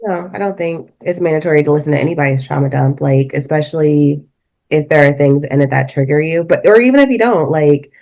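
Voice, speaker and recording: A woman co-host on a podcast who rambles through her points in quick bursts, full of fillers and asides. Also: low-pitched, a little mellow. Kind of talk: casual chat.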